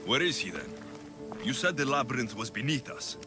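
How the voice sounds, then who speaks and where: An actor playing a calm, sardonic, battle-hardened mercenary in a game cutscene. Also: male voice, mid-range voice, moderate pace, close to an everyday voice. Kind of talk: video game cutscene acting. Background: game music.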